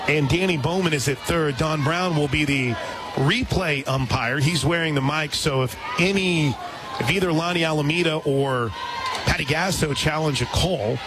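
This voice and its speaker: A man calling softball play-by-play for radio in clipped, descriptive phrases. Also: on the high side, moderate pace. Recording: very loud crowd and stadium noise. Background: roaring softball stadium crowd.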